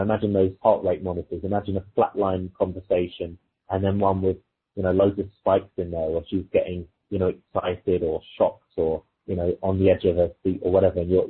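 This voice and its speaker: A very husky, rough-edged male voice comes through a thin, phone-like recording. He speaks as a coach, explaining things informally in a conversational way and illustrating them with example dialogue. His pitch sits on the low side. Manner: informal, explanatory, illustrates points with quoted exchanges. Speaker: dating coach. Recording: muffled phone-like audio, thin and lo-fi.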